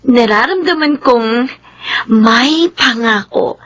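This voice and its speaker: An actress playing a grateful, idealistic young daughter and teacher in a sentimental radio drama, emotional and earnest, with a Filipino accent. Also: female voice, on the high side, slow, a bit bright. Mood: grateful, hopeful.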